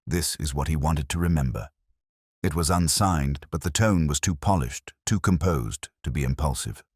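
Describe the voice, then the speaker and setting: A very low-pitched man narrates a mystery story in a measured, dryly understated way. His delivery is lively in pitch, and the recording is close and dry. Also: breathy edge, no echo.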